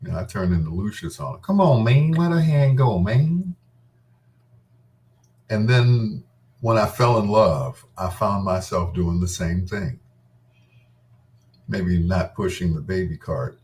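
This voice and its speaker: A man's voice: sits low, expressive, slow pace, pauses often, darkish tone, far from the mic. A middle-aged man reminiscing casually in a rambling, candid and playful way, as the host of his own show. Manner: informal, candid, playful storytelling with self-quoting.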